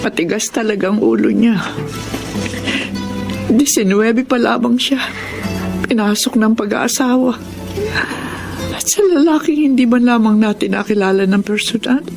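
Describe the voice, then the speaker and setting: A woman's voice: on the high side, unhurried, vocal fry, long pauses, music in the background, low-quality recording. An actress playing a sickly, worried mother in a radio drama, weak and fretful.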